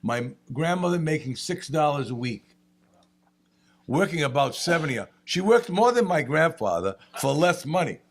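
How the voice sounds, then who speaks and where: A man, speaking on a panel and telling family stories with animated humor. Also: a little high, moderate pace, creaky. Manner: animated storyteller, laughs at own jokes. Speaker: state representative. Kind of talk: panel remarks with anecdotes.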